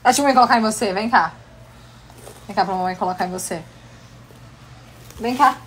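A woman's voice: mid-range voice, fairly quick, a bit bright. A young woman playing with her babies at home, chanting rhymes in sing-song baby talk into a phone camera. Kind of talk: playful baby talk to small children. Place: home living room and kitchen, indoors. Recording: echoey room with faint noise.

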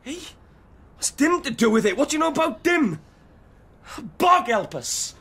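Insolent, high-voiced teenage thug. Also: male voice, a little slow. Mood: furious. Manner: theatrical slang-heavy speech. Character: insolent, volatile teenage male street thug in dystopia. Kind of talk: radio drama acting and narration.

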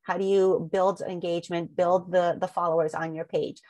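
A woman's voice: lowish voice, flat delivery, moderate pace, darkish tone, no room sound. A woman presenting a webinar over slides, talking through a long run of rhetorical questions with frequent ums, and sounding a little sniffly from allergies.

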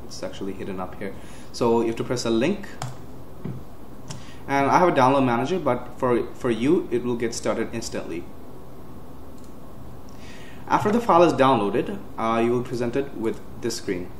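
A young man giving a casual step-by-step computer tutorial, with frequent uhs and quick self-corrections. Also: medium pitch, animated. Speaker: tech tutorial host. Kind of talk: screen-recorded software walkthrough. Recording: roomy with faint hiss.

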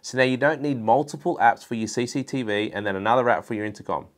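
A man's voice: medium pitch, fast. A man in his forties presenting a product explainer to camera in an informal, instructional way. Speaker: presenter for a networking and security business. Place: indoor studio room at a desk.

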